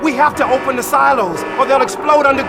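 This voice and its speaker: An actor playing a panicked missile launch officer, urgent and strained, in a game cutscene. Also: male voice, very high voice, fast talker, creaky. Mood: alarmed. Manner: urgent, strained, shouting alarm. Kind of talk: cinematic game cutscene acting. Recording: very loud music underneath.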